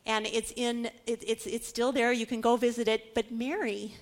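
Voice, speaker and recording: A woman with a notably high voice delivers a teaching sermon. She leans dramatically on key words and often turns to her listeners with rhetorical questions, in the manner of a preacher explaining scripture. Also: flat delivery, moderate pace. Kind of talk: sermon with Bible history teaching.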